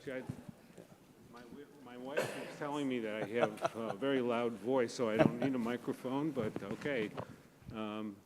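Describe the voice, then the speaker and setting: A man's voice: mid-range voice, fairly even intonation, normal speed, very noisy. A man, the presiding officer of a faculty assembly, introducing the next speaker in a meeting hall, hesitant and full of fillers.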